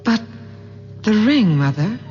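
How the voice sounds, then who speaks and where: An actress playing an obedient, uneasy undead daughter in a radio horror drama, speaking submissively. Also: female voice, medium pitch, sing-song, dark tone. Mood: dutiful, uneasy. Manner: slow, submissive. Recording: dull vintage radio recording with music.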